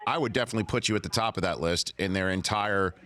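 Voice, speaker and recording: A man's voice: sits low, fast, a bit bright, a little hiss or hum. A man hosting a sports talk show, asking eager questions and often repeating words as he starts them. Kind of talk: radio interview.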